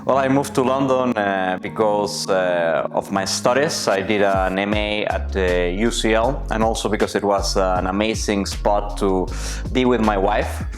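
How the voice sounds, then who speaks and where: A man's voice: fairly low pitch, a little slow. Thoughtful man, faint music behind. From Colombia. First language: Spanish. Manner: thoughtful, searching for words. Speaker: interviewee. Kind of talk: personal interview answers.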